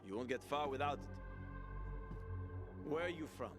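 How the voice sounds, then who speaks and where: An actor playing a seasoned, talkative city survivor in a video game, wry and familiar, swearing freely like a streetwise opportunist. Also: male voice, medium pitch, animated, slow pace. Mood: cautioning. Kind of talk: video game cutscene acting. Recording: game music behind the voice.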